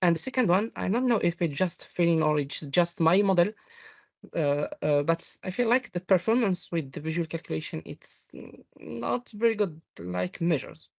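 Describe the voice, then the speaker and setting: Halting, creaky Moroccan-accented audience questioner. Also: mid-range voice, dry recording. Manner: halting, searching for words, non-fluent English. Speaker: audience member asking a question. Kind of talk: hesitant spoken question in an online meeting. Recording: dull, dry lo-fi call audio.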